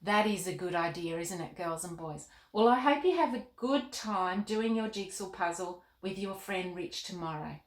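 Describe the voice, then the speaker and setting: Expressive woman storyteller in an echoey room. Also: on the low side, normal speed, hardly any pauses. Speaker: children's author and storytime host. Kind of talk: expressive storybook narration for children.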